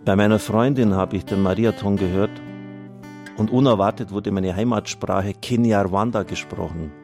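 A deep-voiced priest at the microphone of a religious radio fundraiser, earnestly reading out listeners' letters while a guitar plays faintly underneath. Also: male voice, moderate pace. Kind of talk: reading out listener testimonials and appealing for donations on air.

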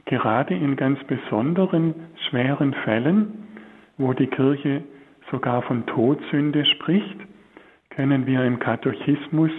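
Slow, husky priest. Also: male voice, medium pitch, phone-quality sound. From Swabia, Germany. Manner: slow, searching, many hesitations. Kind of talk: spiritual talk on a religious broadcast.

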